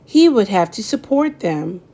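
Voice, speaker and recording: A woman reading a children's picture book aloud in an expressive storytelling style, pausing for effect. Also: medium pitch. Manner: slow, lively storytelling with dramatic pauses. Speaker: read-aloud narrator.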